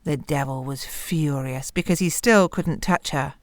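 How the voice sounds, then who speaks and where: Measured woman storyteller, dry close sound. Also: low voice, no room sound. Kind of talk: expressive fairy-tale narration.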